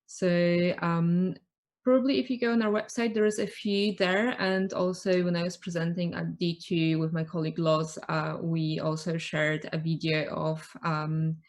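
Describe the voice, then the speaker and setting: Deep-voiced woman, flat delivery. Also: moderate pace. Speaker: architectural visualization panelist. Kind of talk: casual video call panel.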